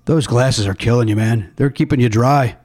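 A man's voice: mid-range voice, normal speed, darkish tone. A man hosting a comedy podcast, chatting casually with a jokey, profane manner. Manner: jokey, profane, mock radio-DJ patter. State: says he is losing his voice. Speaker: podcast host.

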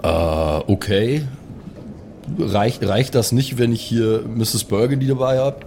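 A man's voice: mid-range voice, takes it slowly, darkish tone, slight background noise. A man playing a cocky, simple male ex-soldier in a tabletop game, with a casual, would-be charming manner. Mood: casual, hesitant. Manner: casual, laid-back, mock-charming.

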